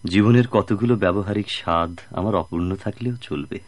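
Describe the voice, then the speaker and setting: An actor playing a patient, devoted suitor in a radio drama, measured, solemn and earnest. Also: male voice, deep voice, no echo, lightly acted. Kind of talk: melodramatic radio drama acting.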